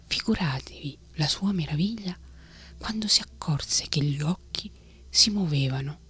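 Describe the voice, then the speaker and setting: Softly whispering woman storyteller. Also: deep voice, husky. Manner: soft whispering, unhurried. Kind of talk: whispered ASMR story reading.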